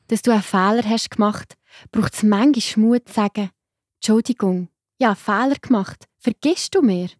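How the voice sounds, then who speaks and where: Erzählerin mit schweizerdeutschem Akzent. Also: female voice, mid-range voice, dry recording, clean studio sound. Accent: Swiss German. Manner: gentle, explaining. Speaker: narrator. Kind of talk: storytelling for children.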